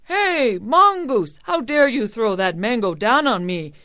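Brash, exclamatory young village man for a storybook, played by a woman, lively and indignant, close dry recording. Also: fairly high pitch, a little slow, no room sound, pitched above the performer's own voice. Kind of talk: animated storytelling.

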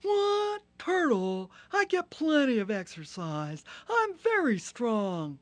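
A woman's voice: fairly high pitch, huge pitch swings, slow, dry recording, voice pushed up, full character voice. A woman voicing a big, sleepy, friendly female rhinoceros. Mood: indignant.

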